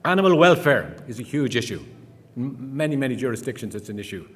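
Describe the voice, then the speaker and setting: A man's voice: medium pitch, lively intonation, fast talker, fairly bright tone. An Irish man giving a chatty conference talk, full of fillers, restarts and anecdotes. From Ireland. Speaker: conference speaker. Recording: long hall reverb.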